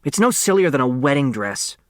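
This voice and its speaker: A man's voice: medium pitch, sing-song, brisk, dry recording, studio quality, voice pushed up, close to an everyday voice. A man voicing a bratty, defiant young girl in an audiobook, snappy and blurting her words.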